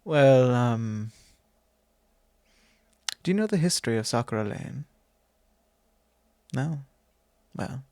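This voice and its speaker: A man playing a courtly, fond vampire lord in an intimate ASMR roleplay, speaking formally and unhurriedly with pauses. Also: medium pitch, animated, darkish tone, no echo. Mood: gentle, earnest. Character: courtly possessive male vampire lord, long-lived, fond and wistful. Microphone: close microphone, dry.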